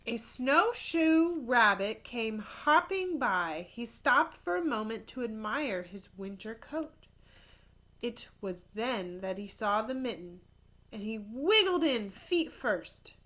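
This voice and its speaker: A woman with a very bright, fairly high voice reads a children's story aloud like a primary school teacher. Her pace is slow and deliberate. She reads expressively and slips into casual asides as if showing pictures to young listeners. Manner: expressive reading, pausing to point out pictures and explain words. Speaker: third grade teacher reading to pupils.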